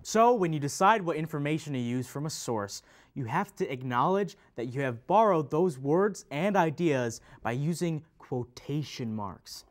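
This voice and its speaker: A man's voice: on the high side, lively intonation, moderate pace, lightly acted. A man playing a pedantic teacher in an instructional play, stressing key words in a didactic way. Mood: instructive. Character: pedantic male teacher patiently correcting a student. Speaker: readers theater performer. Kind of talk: scripted instructional stage dialogue.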